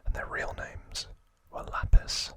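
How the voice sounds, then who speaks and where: A man whispering softly in a breathy, unhurried ASMR style as he explains trivia informally, pausing between short phrases. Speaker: ASMR video host.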